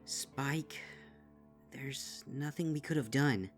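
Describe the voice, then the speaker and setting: A woman's voice: extremely low pitch, slow. A woman voicing a frightened young female pony, urgent and breathless, in a dramatic story. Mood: sad. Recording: music behind.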